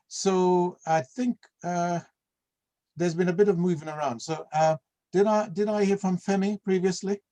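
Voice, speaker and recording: A man's voice: high-pitched, dark tone. A man hosting an online meeting over a video call, calling on each person by name in an easygoing way. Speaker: meeting host.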